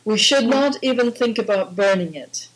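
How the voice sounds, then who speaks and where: A woman's voice: medium pitch, animated, normal speed, a little hiss or hum, low-quality recording. A woman from Guyana, an environmental activist, talking firmly and conversationally in a phone interview, with small restarts.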